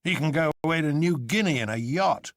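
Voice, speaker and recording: A man's voice: on the high side, lively intonation, brisk, fairly bright tone, no echo, clean studio sound, pitched above the performer's own voice. A man voicing a scornful, worldly detective in an audiobook, lecturing in an emphatic, opinionated way.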